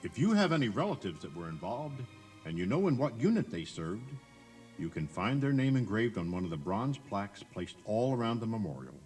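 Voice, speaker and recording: Male narrator, highly varied intonation, dramatic scripted storytelling for an eighties cassette tour, faint music underneath. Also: very animated, a little fast, a little mellow. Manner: theatrical, emphatic storytelling with rhetorical questions. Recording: 1980s cassette tour tape, music behind.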